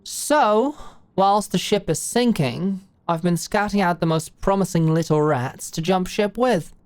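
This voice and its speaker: A woman's voice: fairly low pitch, a little slow, a little mellow, no echo, close to an everyday voice. A woman playing a blunt, shrewd older British executive in an audio roleplay, talking in a brash, slangy, commanding way. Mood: frank, confiding. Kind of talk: scripted audio roleplay monologue.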